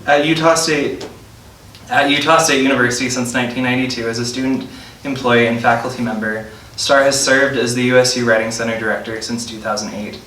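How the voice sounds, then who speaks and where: Echoey man reading an introduction, bright voice. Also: mid-range voice, steady tone, a little fast. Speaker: host introducing a featured poet. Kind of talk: reading a scripted introduction aloud. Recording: roomy, distant mic, faint noise.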